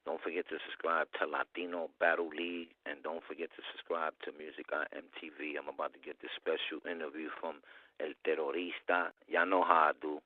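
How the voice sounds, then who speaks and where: A man's voice: a bass voice, level tone, a little fast, no echo, narrow, tinny audio. A man hosting a casual interview in laid-back, slangy street talk. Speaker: interviewer, channel host.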